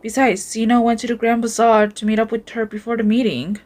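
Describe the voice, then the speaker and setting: A woman's voice: fairly high pitch, little pitch movement, brisk, a little mellow, a little hiss or hum. A woman acting out scripted fantasy game dialogue as an amateur voice actor. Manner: acted, reading scripted lines.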